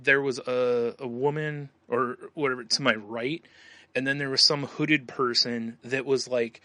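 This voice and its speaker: Bright-voiced rambling man on a podcast. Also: medium pitch, steady tone, unhurried, dry recording. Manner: rambling, laughs often, trails off. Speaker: podcast co-host. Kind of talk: casual chat.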